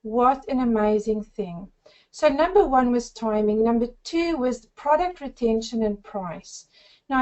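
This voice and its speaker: A woman in her forties from South Africa with a South African accent, giving an effusive, upbeat sales pitch on a group call. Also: a little mellow, dull audio. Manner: effusive, upbeat, addresses listeners as friends. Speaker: network marketing presenter.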